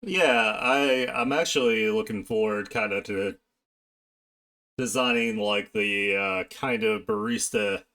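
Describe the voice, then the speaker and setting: A man chatting casually on an art live stream, talking haltingly and half to himself as he works. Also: medium pitch, big pitch swings, gravelly, sharp tone, smooth. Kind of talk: casual live-stream chat while drawing.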